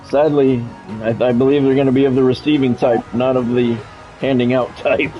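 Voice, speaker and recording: A man's voice: medium pitch, little pitch movement, normal speed, faint music behind. An American man chatting casually and doing sports commentary as a game streamer, informal and wry with a self-deprecating streak. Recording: dull, lo-fi microphone sound.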